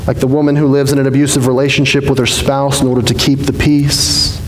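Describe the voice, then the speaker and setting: Earnest pastor preaching in an echoey sanctuary. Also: male voice, medium pitch, level tone. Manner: earnest and measured, slowing for emphasis. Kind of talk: sermon. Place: large church sanctuary, indoors. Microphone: headset mic at a pulpit. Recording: echoey hall reverb, faint hiss.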